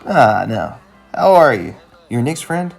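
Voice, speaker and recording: A man's voice: mid-range voice, sing-song, takes it slowly, gravelly, faint music behind, full character voice. A man voicing a moody, nostalgic young man in a cartoon, speaking in an earnest, hesitant, melodramatic way. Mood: friendly. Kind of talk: animated comedy-drama dialogue.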